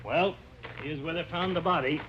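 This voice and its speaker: A man's voice: a little high, moderate pace, lightly acted. A man acting an easygoing, laconic rural sheriff in a vintage radio drama. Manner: folksy, laconic. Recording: muffled, noisy vintage radio recording.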